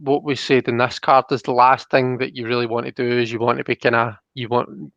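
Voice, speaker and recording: A man hosting a live card reading, talking casually and explaining as he thinks aloud, with halting phrasing. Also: medium pitch, little pitch movement, fairly bright tone, dry recording, muffled recording. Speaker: host of a live tarot reading.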